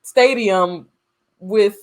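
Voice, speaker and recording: A woman's voice: medium pitch, very slow. A woman co-hosting a podcast, chatting casually about film in a blunt, informal, teasing way. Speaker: podcast co-host.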